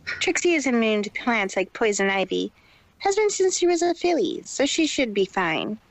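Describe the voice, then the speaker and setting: A woman's voice: fairly high pitch, very animated, moderate pace. A woman voicing a boastful, proud show-off pony mare who talks grandly about herself in a fan fiction reading. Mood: proud, tired. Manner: refers to herself in the third person, haughty.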